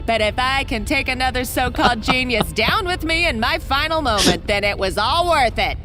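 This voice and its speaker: A woman's voice: high voice, lively intonation, normal speed, sharp tone, a little hiss or hum. A woman playing an unhinged, vengeful treasure-hunting villain in a video game, with a bitter, theatrical delivery. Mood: manic spite.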